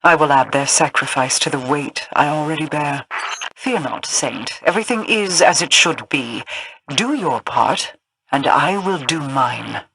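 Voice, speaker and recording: A woman playing a solemn, proud queen in a video game, speaking formally and imperiously in measured phrases. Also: deep voice, animated, a bit bright, a little hiss or hum, heavily acted, low-quality recording. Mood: regal, grave. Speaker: video game character voice actor. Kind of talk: scripted video game dialogue.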